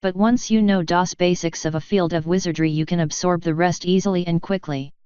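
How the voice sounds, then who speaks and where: A woman's voice: on the low side, steady tone, a little fast, darkish tone, clear, resonant tone, dry recording, muffled recording, close to an everyday voice. A woman voicing a knowledgeable female unicorn mage who teaches patiently in an audiobook story. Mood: explanatory.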